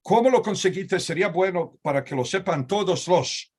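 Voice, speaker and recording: A man's voice: sits high, lively intonation, normal speed, darkish tone, husky. A man hosting a video-call talk in Ladino, asking long, searching questions with many fillers and restarts. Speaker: host. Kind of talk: conversational interview in Ladino.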